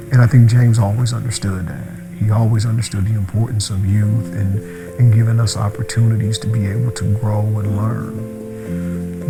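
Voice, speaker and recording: A man's voice: lowish voice, creaky. A man giving an earnest, reflective interview testimonial. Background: loud guitar music.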